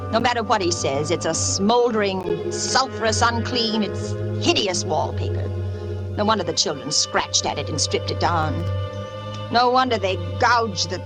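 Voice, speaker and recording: An actress playing a stifled, unravelling young wife, intense and confiding, in an old-time radio suspense drama. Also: female voice, medium pitch, lightly acted, poor audio. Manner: intense, theatrical, brooding confiding narration. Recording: vintage radio recording with music behind.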